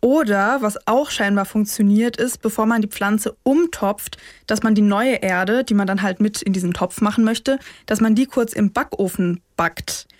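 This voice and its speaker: A woman chatting casually as a podcast co-host, informal and quick to laugh. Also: mid-range voice, no echo, studio quality. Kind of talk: casual chat between co-hosts.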